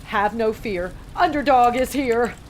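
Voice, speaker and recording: A woman playing a quick-witted young surveillance agent in an audio drama, brisk and wisecracking. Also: on the high side, natural voice. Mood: cheeky, brisk. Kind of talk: scripted audio drama acting. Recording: clear noisy ambience behind voice.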